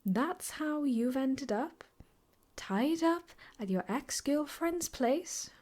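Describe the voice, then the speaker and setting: A woman playing an obsessive, possessive, sweetly menacing ex-girlfriend in an improvised ASMR roleplay, teasing and often slipping into breathy whispers. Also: high voice, lively intonation, slow pace, a little mellow. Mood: hurt, accusing.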